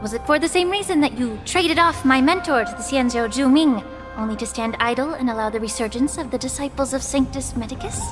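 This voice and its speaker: An actress playing a sharp-minded young woman, a healer's disciple, who questions a general in a formal, probing way, in a game cutscene. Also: sits high, a little fast, lightly acted. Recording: reverb with background music.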